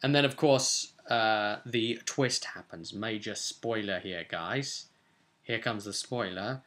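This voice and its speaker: Bright-voiced young British man, chatty and emphatic. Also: on the low side, takes it slowly. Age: young adult. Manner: chatty, emphatic, gesturing storyteller. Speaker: vlogger and game master recounting a session. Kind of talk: casual recap to camera. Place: small indoor home room, seated at a desk.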